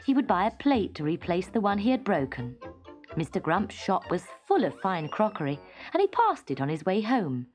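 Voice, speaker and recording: Animated British woman storyteller. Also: medium pitch, soft background music. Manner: expressive, deliberate storytelling. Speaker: storyteller reading a children's story. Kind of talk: scripted children's story narration.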